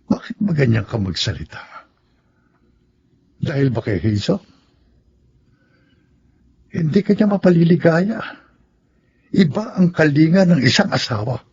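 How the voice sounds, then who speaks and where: An actor playing a self-pitying, insistent middle-aged suitor in a radio drama, earnest and melodramatic with a rough voice. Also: male voice, a little high, sing-song, a little slow, long pauses, a little mellow, heavily acted. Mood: pleading, insistent. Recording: dull lo-fi radio drama sound.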